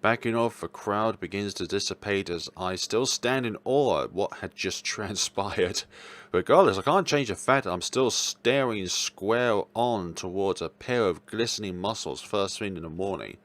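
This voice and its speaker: A man reading a visual novel story aloud in an expressive, dramatic way, as a let's player. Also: fairly low pitch, normal speed. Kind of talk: expressive read-aloud narration of game text. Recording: music playing behind the voice. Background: game background music.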